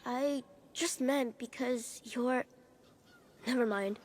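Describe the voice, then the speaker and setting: A woman's voice: a little high, slow. A woman voicing a shy, kind young boy with a hesitant, earnest manner in a video game cutscene. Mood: hesitant, anxious.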